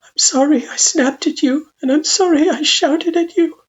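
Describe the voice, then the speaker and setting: A woman's voice: fairly high pitch, moderate pace, darkish tone, highs cut off, pitched above the performer's own voice, close to an everyday voice. A woman voicing a tender, anxious monster mother who speaks with formal care, in a story. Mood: tearful, pleading.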